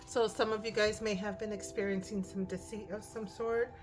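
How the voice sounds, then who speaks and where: A hoarse woman reading tarot cards aloud, thinking out loud and often asking "right?". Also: mid-range voice, level tone, moderate pace. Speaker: tarot reader. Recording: music in the background.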